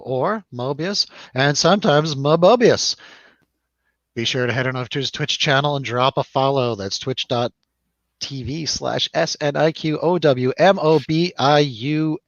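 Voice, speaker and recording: A man's voice: fairly high pitch, no room sound, dull audio. A man hosting a casual live movie review show with jokey, showy announcer-style introductions.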